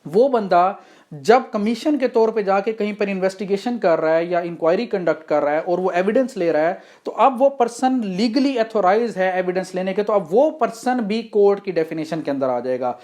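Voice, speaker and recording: Woman, Pakistani accent, medium pitch, law lecturer, didactic explanatory delivery. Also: lively intonation, moderate pace, a little mellow. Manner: didactic, poses questions and answers them.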